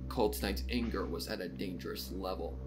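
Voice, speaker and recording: A man reading a story aloud as dramatic scripted narration, with soft music behind him. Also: low voice, moderate pace. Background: soft background music.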